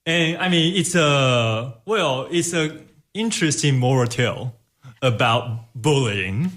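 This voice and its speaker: Male panelist, medium pitch, tentative delivery, casual film opinions. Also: animated, slow pace, a bit bright.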